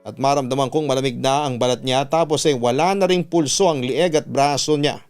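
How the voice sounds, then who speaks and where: A man's voice: medium pitch, a little fast, darkish tone, smooth. A man who works as a radio announcer, narrating a dramatic first-person story. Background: background music.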